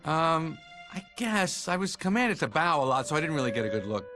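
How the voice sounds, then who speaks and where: An actor playing an awkward, reluctant young bee being interviewed in an animated video game, hesitant and stammering. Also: male voice, fairly high pitch, a little fast, over music. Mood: awkward, uneasy.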